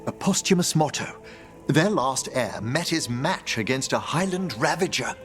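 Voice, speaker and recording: Lilting, eloquent necromancer mage. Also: male voice, fairly high pitch, animated, normal speed, fairly bright tone, soft background music. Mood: solemn. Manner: formal, eloquent, musing. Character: learned, courtly male necromancer mage, gentle and ceremonious. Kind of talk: video game voice acting.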